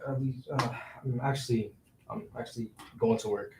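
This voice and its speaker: A man's voice: mid-range voice, steady tone, normal speed, noisy background, natural voice. Man acting cheeky jobless guy, echoey and distant. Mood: dry, teasing. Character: Frankie, cheeky, joking man out of work. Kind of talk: staged play reading. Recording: echoey room, far from mic.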